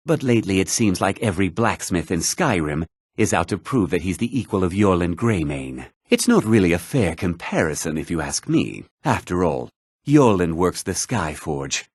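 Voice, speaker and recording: An actor voicing a haughty, gossipy, well-to-do townsman in a fantasy video game, speaking in a measured, theatrical way with a husky voice. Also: sits low, a little mellow, no echo, clean studio sound. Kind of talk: scripted fantasy game character dialogue.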